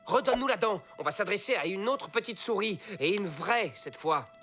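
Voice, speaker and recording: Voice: mid-range voice, expressive, normal speed, a bit bright, faint music behind, close to an everyday voice. An actor voicing a street-smart, indignant cartoon animal with a blunt, argumentative manner. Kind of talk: French cartoon dub acting.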